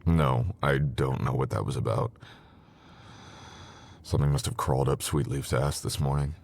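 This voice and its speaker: Man playing a very deep, roguish sorcerer boyfriend. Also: normal speed, darkish tone, a little hiss or hum. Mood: grumbling. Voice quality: very deep, gravelly.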